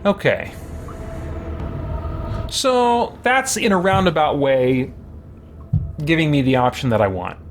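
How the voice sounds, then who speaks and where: A man's voice: on the high side, animated, takes it slowly, long pauses, slight background noise. A man streaming a game, chatting casually and thinking aloud as he plays. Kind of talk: casual live game commentary. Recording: game music behind the voice.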